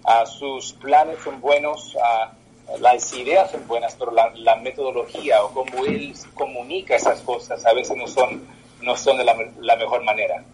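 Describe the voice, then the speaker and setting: A man in his twenties whose first language is Portuguese, answering interview questions in halting speech with many pauses. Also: mid-range voice, steady tone, darkish tone, a little hiss or hum, poor audio. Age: 20s. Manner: halting, with many filler sounds. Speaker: political candidate. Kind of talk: interview by phone.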